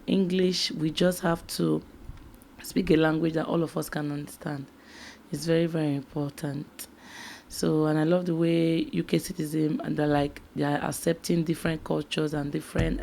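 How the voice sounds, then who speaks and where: A woman with a low, gravelly voice hosts a reaction video. She speaks with enthusiasm and pauses on fillers as she searches for words. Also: a little mellow, slight background noise. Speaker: YouTube reaction host.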